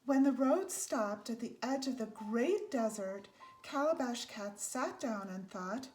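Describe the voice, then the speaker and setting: A woman reading a children's picture book aloud as a storyteller, in a measured, deliberate way with emphasized phrases. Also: fairly high pitch, lively intonation, darkish tone, rough recording. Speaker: storybook reader. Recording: faint background noise.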